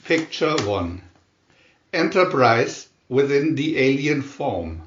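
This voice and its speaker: Slow, echoey male storybook narrator. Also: medium pitch, very animated. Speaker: narrator reading picture-story booklet. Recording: dull, echoey room recording.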